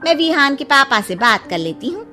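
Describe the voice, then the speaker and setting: Woman acting a high, lively, cheerful auntie. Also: normal speed, soft background music, full character voice. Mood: agreeable. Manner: chatty, agreeable. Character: cheerful, easygoing middle-aged Indian mother-in-law, younger sister-in-law.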